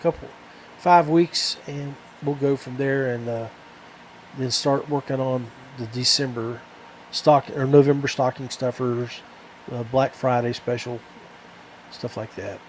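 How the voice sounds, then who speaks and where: A man hosting a podcast alone in casual, rambling chat, often halting and repeating words. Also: mid-range voice, fairly even intonation, poor audio. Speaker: podcast host. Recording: clear background noise.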